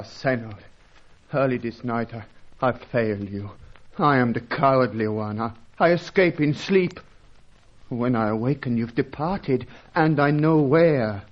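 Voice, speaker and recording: A humble, superstitious local guide from an old radio adventure. He tells things formally and haltingly, with solemn courtesy. The sound is dull and narrow. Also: medium pitch. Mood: fearful, ashamed. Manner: formal, halting, florid storytelling. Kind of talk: old-fashioned radio serial acting. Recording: dull, band-limited old radio recording.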